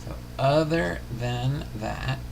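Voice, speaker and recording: A man's voice: medium pitch, slow, vocal fry, noisy background. A man hosting a casual livestream about sports cards, rambling and thinking aloud as he goes. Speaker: livestream host of a baseball card channel.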